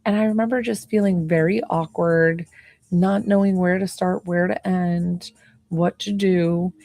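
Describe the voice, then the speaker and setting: Soft-spoken, slightly hoarse woman with a gravelly low voice. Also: fairly even intonation, unhurried, no room sound. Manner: talks softly, chatty with many "like"s. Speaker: intuitive healer. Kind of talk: casual live-stream chat.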